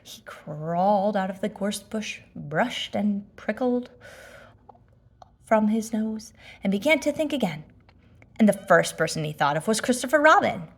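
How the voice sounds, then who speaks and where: A woman narrating a children's storybook aloud in an expressive storytelling manner. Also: medium pitch, a little slow. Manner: animated storytelling. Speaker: storybook reader.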